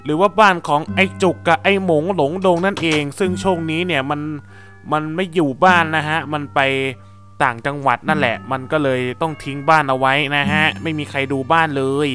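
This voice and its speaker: Man plays nervous noob villager, mumbles aloud, slightly high voice, music bed. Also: fairly even intonation, brisk, a bit bright, natural voice. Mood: uneasy. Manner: talks to himself, nervous and muttering. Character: clumsy noob villager man, jittery and chatty. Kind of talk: Thai cartoon dub of game footage. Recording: music playing under voice. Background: background music.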